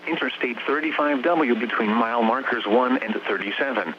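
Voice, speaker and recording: A man's voice: medium pitch, moderate pace. A synthetic American man's voice comes through a dull, humming weather radio broadcast. He reads scripted warning bulletins formally and evenly paced, in clipped, automated phrases, with faint noise underneath. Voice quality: synthetic text-to-speech voice.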